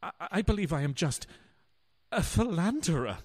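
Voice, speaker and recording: An actor playing a bumbling, self-dramatizing husband in a radio play, stammering and florid. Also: male voice, sits high, sing-song, normal speed, darkish tone, breathy edge, exaggerated voice. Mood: rueful.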